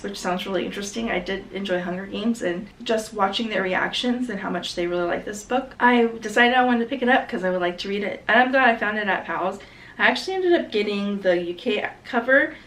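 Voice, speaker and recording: A woman's voice: medium pitch, a bit bright. A woman recovering from a cold, chatting enthusiastically as a book vlogger about her recent book haul. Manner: chatty, enthusiastic, self-correcting. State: getting over a cold. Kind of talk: casual book haul chat. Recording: slightly distant mic, faint background noise.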